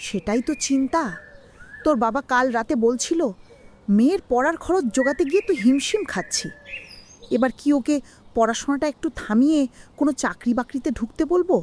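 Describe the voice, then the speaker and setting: A woman's voice: sits high, expressive, brisk, a little mellow, slight background noise. A woman playing a worried, loving middle-aged mother in a cartoon drama, speaking in an emotional, plaintive way. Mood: anxious, sorrowful.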